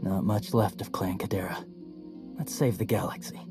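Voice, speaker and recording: A man's voice: sits low, steady tone, moderate pace. A man playing a laconic, loyal clan warrior in a video game, speaking in terse, understated phrases. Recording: loud music behind the voice.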